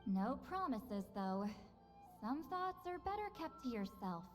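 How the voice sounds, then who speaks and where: A woman's voice: a little high, sing-song, unhurried. A woman playing a proud, teasing young shaman in a video game, haughty and playful but easily flustered. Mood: coy, flustered. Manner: playful, haughty, hesitant when embarrassed. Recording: long reverb, faint music behind.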